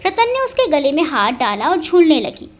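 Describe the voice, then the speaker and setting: A woman's voice: high voice, very animated, moderate pace, a little hiss or hum. A woman narrating a scripted family romance story as a dramatic storyteller.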